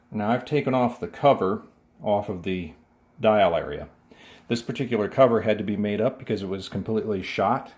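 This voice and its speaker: A man's voice: medium pitch, a little mellow. A man giving a hands-on demonstration as a restorer, explaining methodically and in detail. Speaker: radio restorer presenting his work.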